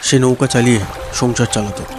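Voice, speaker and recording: A man's voice: medium pitch, fairly even intonation, quick pace, darkish tone, soft background music. A man narrating a scripted cartoon story as its storyteller.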